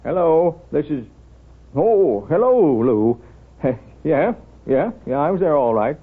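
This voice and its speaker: An actor playing a wry, kindly small-town doctor with a folksy, unhurried manner in a 1930s radio drama. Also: male voice, a little high, very animated, slightly rough, full character voice. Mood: affable. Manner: folksy, wry, unhurried, hesitant drawn-out asides. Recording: thin, tinny 1930s radio recording.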